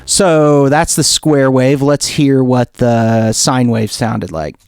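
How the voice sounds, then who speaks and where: A man giving a casual software tutorial, thinking aloud in an informal way as he demonstrates. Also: mid-range voice, takes it slowly, faint music behind. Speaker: music producer demonstrating software.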